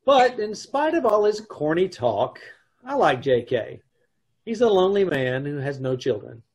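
A middle-aged actor playing a wry, wisecracking husband who narrates and acts in an old-time radio comedy over a video call. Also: male voice, on the high side, sing-song, measured pace, a little mellow, slightly rough, heavily acted. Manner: dry, wry.

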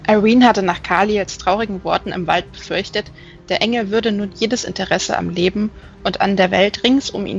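Woman, audiobook narrator, mid-pitched, measured, careful, faint music behind. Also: creaky, dull audio. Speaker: audiobook reader. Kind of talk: scripted literary narration.

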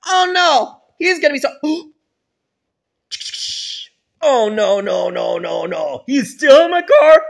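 A performer voicing a grown father who turns frantic and furious, shrill and panicky, in a homemade family drama. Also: animated, takes it slowly, gravelly.

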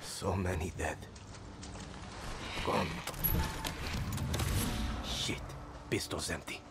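A man's voice: low-pitched, steady tone, extremely slow, vocal fry, very noisy. A man talking to himself in short remarks, as a video game character.

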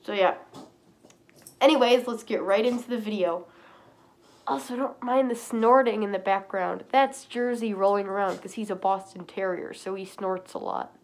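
A woman's voice: mid-range voice, moderate pace. Stuffy-nosed woman chatting on camera. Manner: rambling, chatty, frequent throat clearing. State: stuffy nose from a cold. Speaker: fursuit vlogger. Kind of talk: casual chat to camera. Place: small indoor room.

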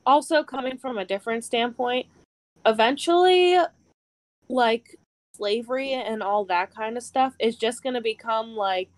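A woman's voice: on the high side, unhurried, no room sound. Young woman chatting casually, dry sound. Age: 20s. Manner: casual, filler-heavy. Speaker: podcast co-host. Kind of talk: casual chat.

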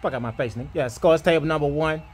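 High-pitched man doing profane comedy dubbing. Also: quick pace. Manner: profane, slangy trash talk. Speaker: comedy voiceover creator. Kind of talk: comedic dubbing of basketball players and referees.

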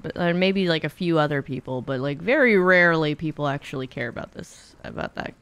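A woman's voice: deep voice, expressive, a little fast, a bit bright, no room sound. A young woman streamer chatting casually and candidly as she plays, swearing freely and thinking aloud into a close microphone. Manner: candid, sweary, talking through thoughts aloud. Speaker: video game streamer and speedrunner. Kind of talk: casual livestream gameplay chat. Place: small home streaming room, indoors.

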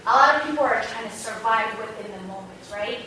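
A woman's voice: a little high, a little fast, a crowd in the background. A woman from Somalia making a spontaneous comment as an audience member in a large lecture hall. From Somalia, moved to America young. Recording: echoey hall, distant mic, some noise.

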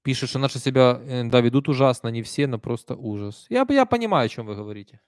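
A man in his thirties with a Kyiv accent talks conversationally into a close desk mic, leaning into certain points and then dropping to softer asides. His voice sits at an ordinary middle pitch. The room sounds dry, with no echo. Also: lively intonation, fast. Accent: Kyiv Russian. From Ukraine. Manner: conversational, emphatic, soft-spoken asides. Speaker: streamer reading viewer comments. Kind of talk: live stream chat. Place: small room indoors. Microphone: desk stand mic close up.

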